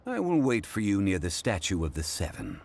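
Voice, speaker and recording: An actor playing a composed, scholarly gentleman in a video game, formal, measured and eloquent. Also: low-pitched, huge pitch swings, vocal fry, a little hiss or hum, full character voice. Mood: calm, formal.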